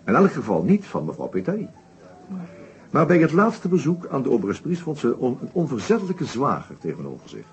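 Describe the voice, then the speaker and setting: Lively, deliberate detective, dull recording. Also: male voice, mid-range voice. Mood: grave, explaining. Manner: explanatory, deliberate, with small hesitations and tags. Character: shrewd, methodical male detective inspector summing up a murder case. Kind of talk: Dutch radio play acting. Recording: dull old radio play recording.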